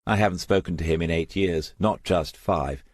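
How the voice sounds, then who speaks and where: Guy doing a bitter young mum in an audiobook, blunt and stubborn, low husky voice. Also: moderate pace, darkish tone, no echo, clean studio sound. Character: resolute, bitter young woman, a single mother. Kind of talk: audiobook character dialogue.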